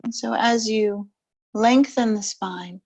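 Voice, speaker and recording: A woman leading guided relaxation and gentle yoga, speaking in short, soothing, unhurried phrases with long pauses between them. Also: fairly high pitch. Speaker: yoga nidra and stretch instructor.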